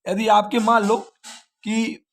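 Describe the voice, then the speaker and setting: A man's voice: high voice, fairly even intonation, normal speed, slight background noise. A man, an exam coaching teacher explaining details informally and repeating key points as questions and answers.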